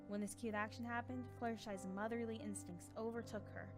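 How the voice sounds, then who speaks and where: Female narrator, mid-pitched, scripted storytelling with soft background music.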